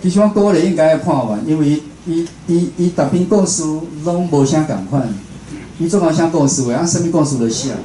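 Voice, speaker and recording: A man's voice: fairly high pitch, a little fast, mellow. A man with a Taiwanese accent giving an informal talk as a radio host, conversational, repeating phrases and putting questions to his listeners. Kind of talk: informal public lecture. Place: indoor room, speaking to an audience. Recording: roomy echo, faint background noise.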